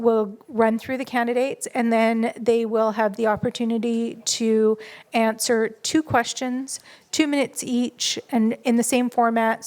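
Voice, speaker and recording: A woman moderating a public candidates forum, reading out names and explaining the format in a steady, organized way. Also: a little high. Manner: steady, organized announcing with fillers.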